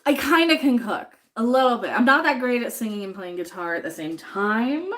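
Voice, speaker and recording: A woman's voice: on the high side, animated, normal speed, fairly bright tone, a little hiss or hum. A woman chatting casually on a livestream while she cooks in her home kitchen, sniffly from chopping jalapenos and reading viewer comments aloud. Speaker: livestream host cooking.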